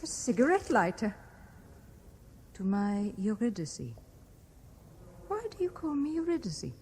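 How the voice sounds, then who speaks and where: A wistful young married Frenchwoman speaks in a British accent with a mid-pitched, fragile, otherworldly air. She sounds haunted by another life, in the measured manner of 1970s radio drama, on a vintage recording with faint hiss. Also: very animated, darkish tone. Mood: weary, tender.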